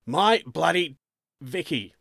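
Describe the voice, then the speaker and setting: A man voicing a stern, suspicious middle-aged police sergeant in a story, interrogating forcefully. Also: fairly high pitch, unhurried, no room sound, studio quality, pitched above the performer's own voice. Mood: agitated.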